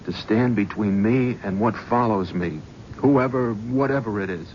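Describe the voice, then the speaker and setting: Guy doing a haunted, restless talent scout, kinda low voice, 70s radio drama, muffled old broadcast. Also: a little slow, a little mellow, close to an everyday voice. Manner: bitter, restless, sardonic. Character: driven, haunted male talent scout, middle-aged. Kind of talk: 1970s radio drama acting. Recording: dull old radio broadcast with faint hiss.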